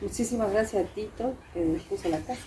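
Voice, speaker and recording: A woman's voice: mid-range voice, brisk. A woman with an Argentine accent, hosting an informal conversational interview with short encouraging interjections. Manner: frequent short listening interjections. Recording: faint background noise, ambient room sound.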